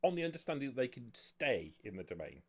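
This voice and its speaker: A man speaking as game master, explaining the rules of a tabletop roleplaying game in a measured way. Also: medium pitch, very animated, husky.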